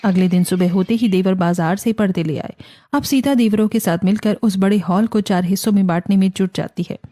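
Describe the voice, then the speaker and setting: A woman with an Indian accent reads scripted narration for an animated family drama. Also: mid-range voice, a little fast, dry recording, clean studio sound. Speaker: story narrator.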